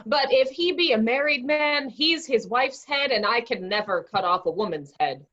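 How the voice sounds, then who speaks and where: A high, slightly muffled voice gives us a wry Shakespearean clown a woman acting a male bawd. He is cheeky and mock-humble, addressing his betters with sly politeness. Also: animated, moderate pace, fairly bright tone. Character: cheeky bawd turned eager hangman's helper, male clown. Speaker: actor in a staged reading. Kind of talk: Shakespearean comic stage reading.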